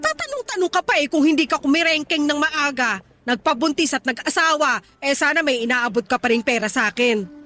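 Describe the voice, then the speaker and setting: A woman's voice: high-pitched, animated, brisk, no room sound. A nagging, bitter mother. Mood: scolding. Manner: sharp, reproachful, nagging. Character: scolding poor mother, middle-aged, bitter and nagging. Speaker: actor. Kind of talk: radio drama acting.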